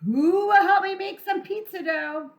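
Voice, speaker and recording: A woman voicing an industrious little red hen from a children's storybook, clucking and calling out in drawn-out phrases. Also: very high voice, voice pushed up. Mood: eager, calling out. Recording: echoey room sound.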